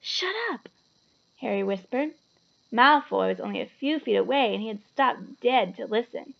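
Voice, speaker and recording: A woman reading a children's novel aloud as an expressive storyteller. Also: fairly high pitch, moderate pace, gravelly, highs cut off. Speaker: storybook reader.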